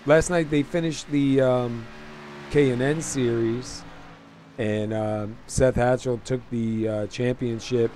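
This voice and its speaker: A man with a hoarse, fading voice hosting casual, halting race commentary in an informal way. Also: medium pitch, steady tone, darkish tone, slight background noise. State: hoarse, losing his voice. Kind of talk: casual sim racing commentary.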